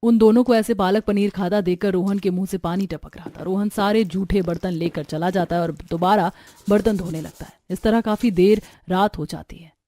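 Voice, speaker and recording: A woman's voice: mid-range voice, fairly even intonation, normal speed, warm tone, slight background noise. A woman narrator reading a scripted family story for a cartoon.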